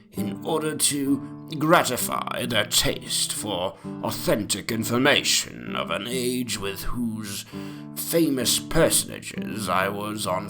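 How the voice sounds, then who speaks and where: A man playing a very old, self-important English gentleman, reading an ornate antique memoir in a deliberate, pompous storytelling manner. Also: on the low side, full character voice. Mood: confiding, grand.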